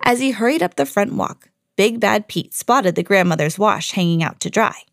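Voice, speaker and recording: A woman hosting a read-aloud podcast, narrating a scripted children's fairy tale in an expressive storytelling style. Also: mid-range voice, normal speed, no room sound, clean studio sound.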